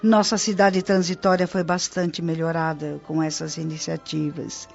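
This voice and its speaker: An actress with a Brazilian accent playing a devoted spirit-colony guide in a radio drama, explaining things in a reverent, articulate way. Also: female voice, deep voice, little pitch movement, moderate pace, darkish tone. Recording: dull lo-fi audio, clear music behind. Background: music.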